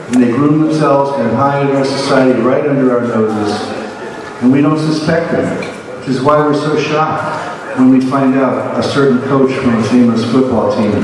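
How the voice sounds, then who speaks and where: A man who founded an advocacy group gives an emphatic speech to a live audience, repeating his key points. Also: mid-range voice. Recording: far from the mic in an echoey hall with a crowd.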